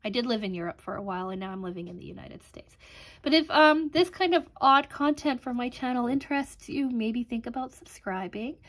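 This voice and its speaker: A woman's voice: a little high, lively intonation, a little fast, a bit bright. A woman in her thirties from Canada, hosting a crafting channel and chatting casually about her life, rambling with frequent ums. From Canada (Alberta). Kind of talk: casual vlog chat.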